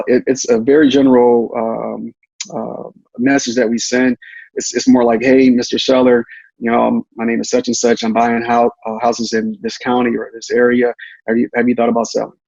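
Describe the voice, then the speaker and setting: A man's voice: medium pitch, creaky, mellow, no echo, muffled recording. A man giving tips as an interview guest in a casual, repetitive way, often checking in with "right?". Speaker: guest, real estate investor.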